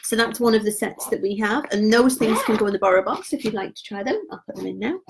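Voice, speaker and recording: A woman in her thirties talks casually through gift sets on a live stream, her voice mid-pitched. Her voice is wearing hoarse, and she drops softer at the ends of phrases in an easy, chatty way. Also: fast talker, background noise. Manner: chatty and informal, trails off quietly. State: voice going hoarse. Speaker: beauty product consultant.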